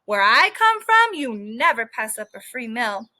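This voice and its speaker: A woman's voice: on the high side, very animated, a little slow, sharp tone, heavily acted. A woman voicing a cocky, stubborn stray dog who tells his story in sassy, colloquial children's storybook style. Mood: indignant. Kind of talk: children's book read-aloud.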